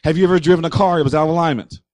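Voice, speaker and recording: A man preaching a sermon as pastor, slightly hoarse, speaking loudly and emphatically and calling out to the congregation. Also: fairly high pitch, steady tone, very fast. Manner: loud, emphatic preaching with audience call-outs. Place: church.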